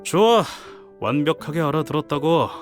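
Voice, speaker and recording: A man's voice: fairly high pitch, animated, moderate pace, over loud music, close to an everyday voice. An actor playing a curt, impatient, sneering tough man in a Korean game. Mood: scornful.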